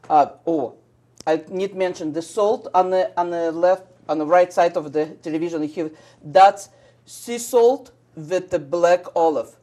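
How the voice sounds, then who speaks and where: A woman from Russia with a Russian accent, a shop owner chatting eagerly about her products in halting English with many fillers. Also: fairly low pitch, dark tone. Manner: enthusiastic, halting non-native English with fillers. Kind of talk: casual chat.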